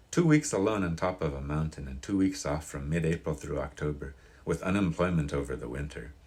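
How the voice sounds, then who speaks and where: A man's voice: rumbling low, a little mellow, breathy edge. A man reading a novel aloud in the style of audiobook narration.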